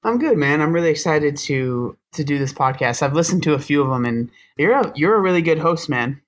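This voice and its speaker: A man's voice: on the high side, animated, brisk. Chatty, self-deprecating young man. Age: young adult. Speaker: podcast guest. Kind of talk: casual storytelling interview.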